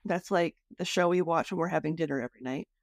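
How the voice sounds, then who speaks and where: A woman's voice: low voice, fast, gravelly, no room sound, clean studio sound. A woman hosting a podcast interview in a casual, conversational style.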